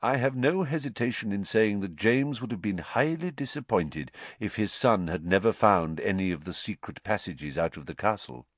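A man's voice: fairly low pitch, expressive, moderate pace, hardly any pauses, no room sound. A man voicing a kindly, mild-mannered male schoolteacher in an audiobook, courteous and understated. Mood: amused. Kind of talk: audiobook character voice.

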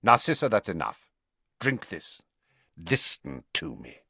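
A man voicing a guarded male teacher and spy who speaks flatly and quietly. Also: low-pitched, gravelly, husky, dry recording, pitched below the performer's own voice, exaggerated voice. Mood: cold. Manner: flat, quiet, measured.

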